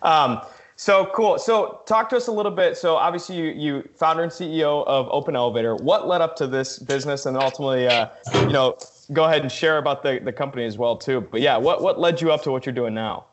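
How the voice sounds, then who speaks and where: A man's voice: a little high, fast talker. A man hosting a podcast in a casual, effusive, enthusiastic way. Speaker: podcast host. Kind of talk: podcast interview, casual introduction.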